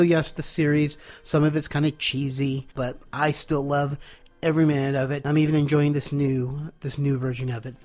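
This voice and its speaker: A man in his thirties from California, a little congested, leaving an enthusiastic recorded comment for a podcast. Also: a little high. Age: 30s. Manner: enthusiastic, nostalgic, with filler pauses. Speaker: caller leaving an audio comment. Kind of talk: casual recorded message.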